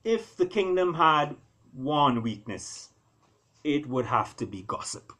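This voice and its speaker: A man, an author reading his own work, narrates an animal fable expressively, with deliberate, dramatic phrasing and pauses. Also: a little high, very animated, bright, forceful tone.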